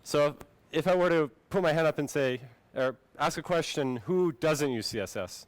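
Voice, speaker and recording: Smooth-voiced Finnish-born man giving a tech talk. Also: on the high side, normal speed, no echo. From Finland. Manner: friendly, relaxed presenter addressing the audience. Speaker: conference speaker.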